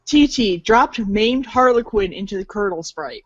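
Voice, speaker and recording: A woman's voice: medium pitch, animated, unhurried, dry recording, muffled recording. A woman narrating a scripted read-along storybook in an informal, playful way, stumbling over words now and then.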